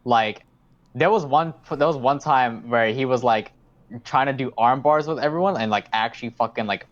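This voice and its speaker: A man rambles through a casual podcast story, full of fillers and swearing. His pitch sits right in the middle for a man. The recording is a little dull and lo-fi. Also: normal speed. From South Auckland, New Zealand. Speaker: podcast co-host.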